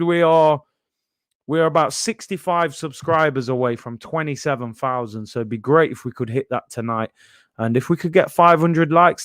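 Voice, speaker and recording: A man's voice: mid-range voice, fairly bright tone, clean tone, no echo, studio quality. A young man, casually hosting a live football stream from home and reading out viewer comments. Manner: chatty, reads viewer comments aloud. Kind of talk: casual live watchalong chat.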